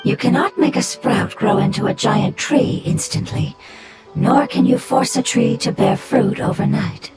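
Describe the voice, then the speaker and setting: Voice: mid-range voice, natural voice. Actor voicing a whispery ancient fungus spirit. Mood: serene. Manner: breathy, whispery and slow. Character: ancient fungus-like nature being, calm and caring. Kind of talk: video game voice acting. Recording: game audio over loud music.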